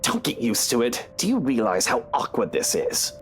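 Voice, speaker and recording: A man's voice: mid-range voice, huge pitch swings, moderate pace, soft background music. A man voicing a sardonic, brooding cheating husband in a visual novel game, with a sarcastic, dismissive manner. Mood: defensive. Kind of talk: dramatic visual novel voice acting.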